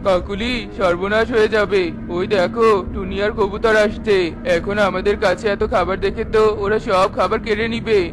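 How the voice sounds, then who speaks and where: Man voicing a high-pitched sly cartoon crow. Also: steady tone, normal speed, close to an everyday voice. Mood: panicked. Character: sly greedy male crow Kalu, wheedling liar. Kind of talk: children's fairy tale cartoon acting.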